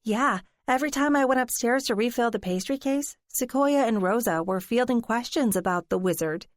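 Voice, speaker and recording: A woman narrator voicing a gentle young woman bakery worker who speaks softly and sadly. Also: on the high side, normal speed, no room sound, clean studio sound, voice pushed up, natural voice.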